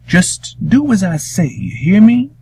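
A man's voice: sits high, wildly swooping pitch, measured pace, warm tone, slight background noise, voice pushed up, full character voice. A man voicing a wise old grandmother from the swamps who gives firm instructions in a raised voice. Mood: commanding.

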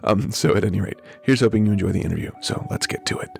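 A man hosting a podcast and talking casually while hoarse from a cold, hurrying and filling pauses with ums and uhs. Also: lowish voice, darkish tone. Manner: hurried, with many ums and uhs. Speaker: podcast host. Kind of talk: casual spoken intro. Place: home.

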